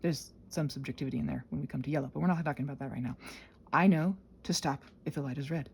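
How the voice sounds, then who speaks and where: A woman's voice: low-pitched, quick pace, creaky, slight background noise. A woman rambling casually and unscripted, scattered and self-deprecating, often trailing off, sounding tired and congested with a sinus infection. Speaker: solo host of a rambling audio channel.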